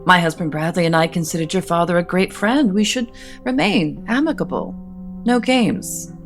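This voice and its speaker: A woman's voice: fairly low pitch, lively intonation, moderate pace, soft background music. A middle-aged woman playing an imperious, disapproving wealthy mother in an audio soap opera, with a formal, scolding manner. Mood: reproachful. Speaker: actor.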